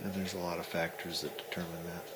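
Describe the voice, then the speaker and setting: Guy with a low voice just chatting and explaining ham radio stuff, kinda rambling, lots of noise behind him. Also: flat delivery, fast. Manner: rambling, thinking aloud. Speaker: amateur radio operator. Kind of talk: casual explanatory chat. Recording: clearly noisy recording with ambient sound.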